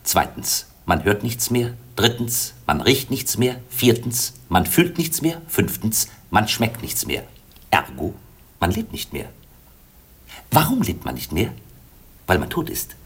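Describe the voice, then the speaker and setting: A man's voice: lowish voice, breathy edge, full character voice. A man playing a pedantic comic chancellor in a theatrical radio play, pompous and declamatory in a mock-scholarly way. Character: pedantic chancellor, comic male courtier and announcer.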